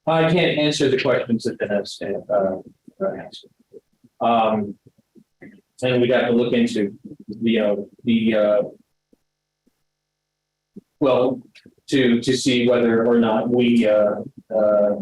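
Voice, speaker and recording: A man's voice: mid-range voice, darkish tone. A man on a parks commission answering at a town meeting, very hesitant with many fillers. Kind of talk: meeting discussion. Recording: roomy echo, somewhat off-mic.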